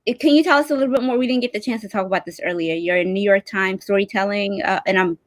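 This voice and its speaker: A woman's voice: mid-range voice, fast talker, no echo. A woman hosting a casual livestream in an effusive, encouraging manner. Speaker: livestream host.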